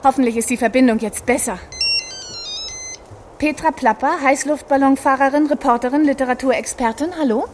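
A highish, expressive actress's take on a woman balloon adventurer from a children's radio play. She chats eagerly to young listeners like a born storyteller. Light ambient noise sits behind her. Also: a little fast, natural voice. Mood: fidgety, excited. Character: adventurous, chatty woman hot-air balloon pilot and reporter.

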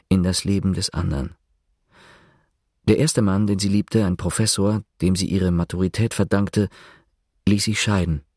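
A man acting as the measured, detached narrator of a literary radio play, speaking composed, deliberate scripted prose. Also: deep voice, a little mellow, breathy edge, dry recording, studio quality.

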